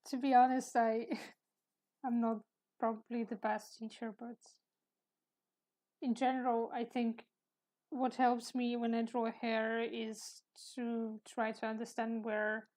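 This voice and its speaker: A woman with a soft, sleepy voice, chatting hesitantly while she draws on a live stream. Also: on the high side, level tone. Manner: hesitant, halting, with small laughs. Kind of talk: casual chat while sketching.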